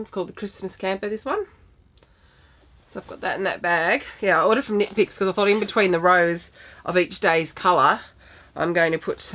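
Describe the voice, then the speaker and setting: An Australian woman hosting a knitting podcast, a bit croaky from a sinus infection, chatting in a rambling, friendly way with many ums. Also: fairly low pitch, a bit bright. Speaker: podcast host. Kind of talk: casual knitting podcast chat.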